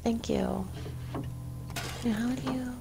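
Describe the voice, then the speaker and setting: A woman's voice: on the high side, slow pace, vocal fry, noisy background. A woman chatting casually as co-host of a co-op gameplay video. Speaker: co-host gamer. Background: music.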